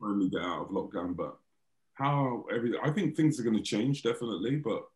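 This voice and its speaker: A man's voice: on the low side, expressive, quick pace, darkish tone. A man who works as a DJ, talking hesitantly over a video call as a guest in a casual podcast chat. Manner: hesitant, laughs easily.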